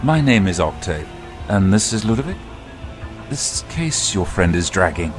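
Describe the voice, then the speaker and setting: Man as a deep-voiced courtly vampire. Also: sing-song. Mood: suave, composed. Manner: stately, formal, drawn-out courtesy. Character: courtly, formal male vampire wedding guest. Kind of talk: audio drama acting. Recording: music behind the voice.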